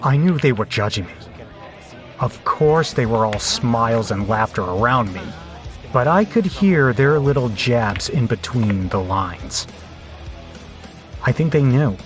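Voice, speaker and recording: Guy doing a cynical biker telling his story, self-mocking and wry, soft music under it, audio drama. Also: medium pitch, animated, measured pace, natural voice. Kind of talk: first-person audio drama narration.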